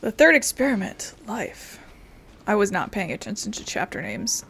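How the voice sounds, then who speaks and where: A woman's voice: medium pitch, animated, normal speed, creaky, fairly bright tone, husky. Wry young woman doing casual gaming commentary. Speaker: gaming video creator. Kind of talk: casual let's play commentary. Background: game music.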